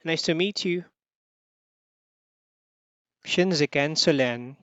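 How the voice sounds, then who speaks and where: A host welcoming learners and reading phrases slowly and evenly, repeating each for practice. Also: mid-range voice, a bit bright, no room sound, lo-fi sound. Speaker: language lesson host. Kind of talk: scripted lesson narration.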